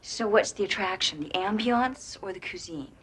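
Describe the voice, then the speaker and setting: An actress playing a proud, jilted woman in a television drama, husky and cuttingly sarcastic. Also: mid-range voice, normal speed, a bit bright, natural voice. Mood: mocking. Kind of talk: naturalistic television drama dialogue.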